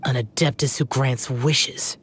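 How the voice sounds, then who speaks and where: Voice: mid-range voice, fairly even intonation, measured pace, a bit bright, husky. An actor playing a stern, aloof, youthful male immortal guardian in a video game, speaking solemnly and formally with disdain. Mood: cold disdain. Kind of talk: video game cutscene acting.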